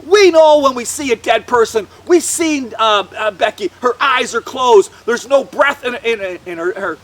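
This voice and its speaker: A man voicing skeptical, indignant village mourners in a live Bible story, halting and colloquial in exasperation. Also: very high-pitched, a bit bright. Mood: dismissive.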